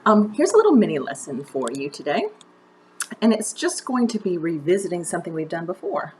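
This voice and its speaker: A woman with a notably low voice leads a video lesson as a drama teacher. She talks conversationally and encouragingly, guiding the learner with direct questions. There is faint ambient noise in the home recording. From United States. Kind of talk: instructional video mini-lesson.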